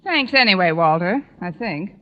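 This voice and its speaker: An actress playing a wry, put-upon woman schoolteacher with dry deadpan sarcasm in a 1940s radio sitcom. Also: fairly low pitch, normal speed, lo-fi sound. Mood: dry, stung. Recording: noisy old 1940s radio broadcast.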